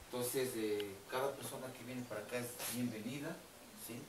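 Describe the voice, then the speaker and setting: A middle-aged man with an Ecuadorian accent, giving courteous, halting interview answers as winery staff, often repeating himself. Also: mid-range voice, fairly even intonation. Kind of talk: informal on-site interview answers. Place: covered brick patio at a winery. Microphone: camera a few metres away. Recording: echoey, distant camera mic, background noise.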